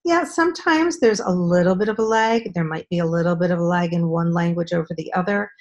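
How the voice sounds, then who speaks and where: A middle-aged woman with a voice pitched a little low speaks as a guest expert over a video call. She explains patiently, pausing often on ums. The sound is that of a home room picked up through a call. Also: highs cut off. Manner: measured, explanatory, with frequent ums. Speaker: speech-language pathologist guest.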